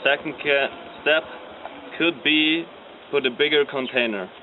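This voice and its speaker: Slow-talking German man explaining. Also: on the high side. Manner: plain explanatory, halting with fillers. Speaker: design team member. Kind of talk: demonstrating a model. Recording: tinny lo-fi with faint noise.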